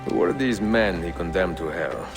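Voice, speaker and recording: A man playing a grave, middle-aged Italian master assassin in a video game, speaking with a measured, solemn Italian accent. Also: low voice, lively intonation. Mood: calm, courteous. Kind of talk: video game cutscene acting. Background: cinematic game music.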